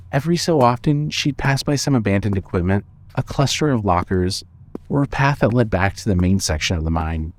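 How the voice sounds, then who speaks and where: A man narrating a scripted horror audio drama in a measured, deliberate storytelling manner. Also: lowish voice, darkish tone.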